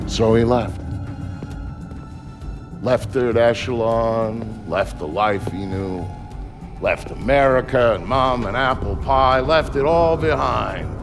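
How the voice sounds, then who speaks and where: An actor playing a world-weary, folksy comrade, narrating a video game story with wry drama. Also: male voice, on the low side. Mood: wry, dramatic. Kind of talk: scripted narration in a game cinematic. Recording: music under the narration.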